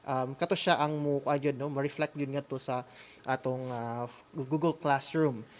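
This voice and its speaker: A man's voice: mid-range voice, fairly even intonation, moderate pace. A man with a Filipino accent hosting an online class session casually, hesitant and switching between languages. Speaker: host of an online radio school session.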